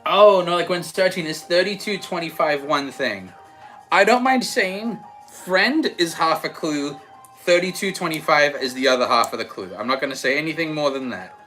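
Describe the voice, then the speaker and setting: A young man with an Australian accent softened by American, chatting casually and rambling a little as he hosts a livestream and answers comments. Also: fairly high pitch, animated, normal speed, rough recording. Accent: Australian with some American. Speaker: livestream host. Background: background music.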